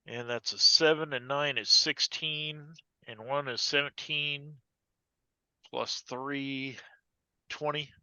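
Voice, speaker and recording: A man plays a tabletop roleplaying game, counting out his dice results at a slow pace. His voice is clear and bright, though the dry online-call recording dulls it. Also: mid-range voice, steady tone, dry recording, muffled recording. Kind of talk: casual game talk, reading out dice rolls.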